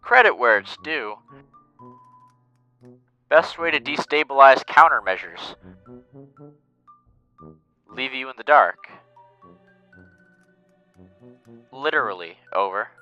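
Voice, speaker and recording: A man's voice: medium pitch, lively intonation, measured pace, pauses often, pushed and bright, clean tone, lo-fi sound, soft background music. An actor playing an earnest, paranoid boy acting as military mission control, speaking in clipped radio talk in a fan dub. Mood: smug, conspiratorial.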